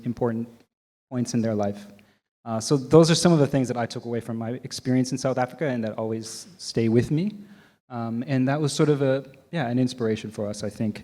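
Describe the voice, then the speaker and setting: A Canadian man moderating a conference panel, talking in a relaxed way with many ums and restarts. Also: mid-range voice. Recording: long hall reverb.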